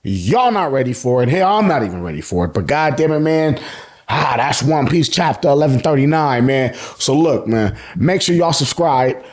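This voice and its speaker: An American man giving an excited, slangy fan recap, emphatic and repeating phrases for effect, with casual swearing. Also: mid-range voice, normal speed. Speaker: host of an anime and manga review channel.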